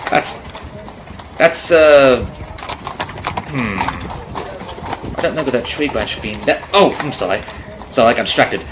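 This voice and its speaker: Casting: male actor as folksy, rambling carriage driver for ASMR roleplay, lightish pitch, friendly on top and sly beneath, horse noise behind. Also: fairly bright tone, rough recording. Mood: mock-innocent. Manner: folksy, rambling, nosy, hesitant with mock-innocent asides. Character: chatty, falsely friendly substitute carriage driver, slyly menacing. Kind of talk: ASMR roleplay audio drama, addressing the listener. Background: clip-clopping horse, carriage ambience.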